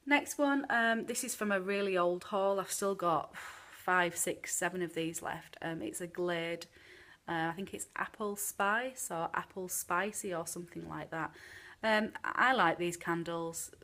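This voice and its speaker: A woman's voice: fairly low pitch, unhurried, pushed and bright. A woman with a British accent giving a casual, frank candle review, a little chesty from a cold. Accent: British English. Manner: chatty, frank, many ums. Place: home room with candles burning.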